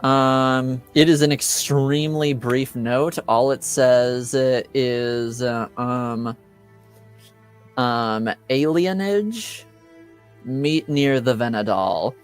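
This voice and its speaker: Slow-talking man with a clear, smooth voice. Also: medium pitch, soft background music. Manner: hesitant, thinking aloud. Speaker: game master of a tabletop roleplaying game. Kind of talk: unscripted game narration and table chat.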